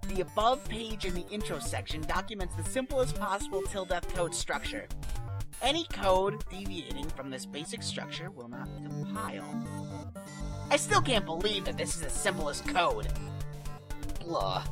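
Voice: mid-range voice, sing-song, normal speed, lightly acted. An actor playing a foul-mouthed, hot-tempered young troll programmer in a fan radio play, ranting with exasperated sarcasm. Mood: bored muttering. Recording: music behind the voice.

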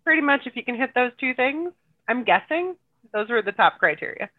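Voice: mid-range voice, animated, a little fast, no echo. Guest on a tinny phone-like line. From United States. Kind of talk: casual podcast conversation.

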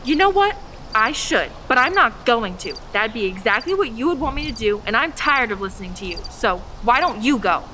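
A woman acts a bratty young girl in an audio drama, stubborn and petulant. The voice is bright, sharp and fairly high, with faint cricket-filled night ambience behind it. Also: sing-song, a little fast, a little hiss or hum. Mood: annoyed, taunting.

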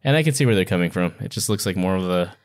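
A man chatting casually as a podcast co-host, in hesitant, halting phrases. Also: sits low, expressive, dry recording, clean studio sound. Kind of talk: casual chat.

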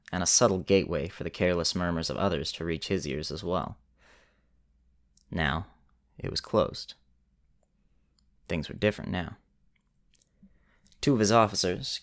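A man narrating a scripted story in a measured way with dramatic pauses. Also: deep voice.

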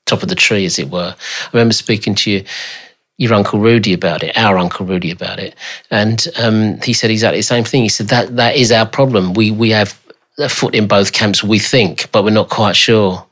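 Low-voiced English man, thoughtful. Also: brisk, fairly bright tone. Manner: thoughtful, hesitant restarts. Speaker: podcast host. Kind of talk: conversational family interview.

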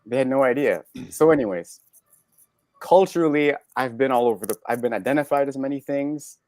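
A man with a Canadian accent, a podcast guest telling personal stories candidly, halting and correcting himself in an animated way. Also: medium pitch, no room sound, studio quality. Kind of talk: candid personal storytelling in conversation.

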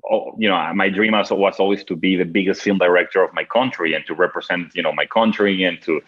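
A film director from Venezuela, a man with a Venezuelan accent and Spanish as his first language, answering interview questions in halting, restarting speech with frequent laughs. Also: sits low, slightly rough. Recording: dull lo-fi sound.

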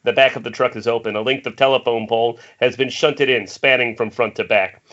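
Man, screenplay narration, bright tense tone, medium pitch, lo-fi internet call. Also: steady tone, brisk. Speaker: reader of stage directions.

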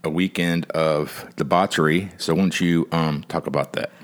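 Deep-voiced, dryly teasing American man. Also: steady tone, normal speed. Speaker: podcast co-host. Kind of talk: casual chat.

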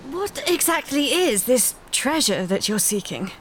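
A woman voicing a calm, wise, secretive alien woman who speaks in measured, cryptic lines, sometimes dropping to a whisper. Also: high-pitched, slight background noise. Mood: grave. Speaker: alien guide. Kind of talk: audio drama acting. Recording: music score underneath. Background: music.

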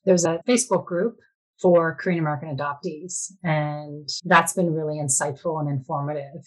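A woman's voice: low-pitched, low-quality recording. A woman giving personal testimony in an interview, speaking in an earnest, plain-spoken and encouraging way. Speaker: interviewee, adoptive mother.

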